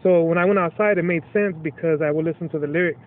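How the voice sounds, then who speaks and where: A man's voice: fairly high pitch, fast. A man from Los Angeles, a rapper guest talking candidly in a casual interview, streetwise and swearing freely. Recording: tinny phone-like line.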